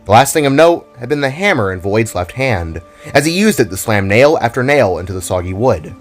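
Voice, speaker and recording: A man's voice: medium pitch, big pitch swings, fairly quick. A man narrating a scripted dramatic story in a theatrical, storybook manner. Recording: music under the voice. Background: background music.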